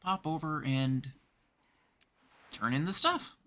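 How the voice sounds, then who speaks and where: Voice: mid-range voice, slow pace, a bit bright. A streamer from Canada giving casual live video game commentary, chatting and thinking aloud in short remarks.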